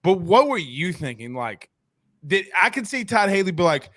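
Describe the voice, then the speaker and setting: A man hosting a sports podcast, interviewing in a casual, animated and very informal way. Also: sits high, normal speed, no echo, clean studio sound. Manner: animated, informal, peppers talk with "you know, like".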